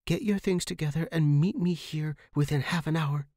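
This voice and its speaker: A man's voice: a little high, moderate pace, a little mellow, no echo, clean studio sound, pitched above the performer's own voice, natural voice. A man voicing a level-headed young woman in a horror story, earnest and instructing. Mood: urgent, decisive. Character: level-headed, decisive young woman friend, urging escape.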